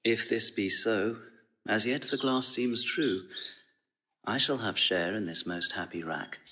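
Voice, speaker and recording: A man's voice: fairly low pitch, unhurried, bright, forceful tone. A man playing a lovestruck noble duke in classical Shakespearean style, with stately verse delivery. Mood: tender, resolved. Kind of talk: classical Shakespearean stage acting. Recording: remastered audio drama with music underneath.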